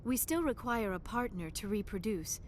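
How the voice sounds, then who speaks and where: A woman's voice: mid-range voice, expressive, normal speed, a little mellow, a little hiss or hum, lightly acted. An actress voicing a young alien woman scientist in a video game, shy and earnest, with formal, precise diction. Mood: calm explaining. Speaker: archaeologist crew member.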